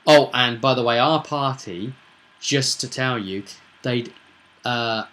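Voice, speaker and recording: Male game master, mid-pitched voice, casual storytelling recap, halting delivery with weighty pauses. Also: fairly bright tone.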